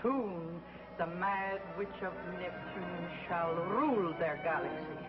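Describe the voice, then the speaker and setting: A gloating witch queen. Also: on the low side. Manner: slow, gloating, imperious. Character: power-hungry female witch queen, vengeful schemer. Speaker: villain. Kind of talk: 1950s TV drama acting. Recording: muffled old TV soundtrack with loud music.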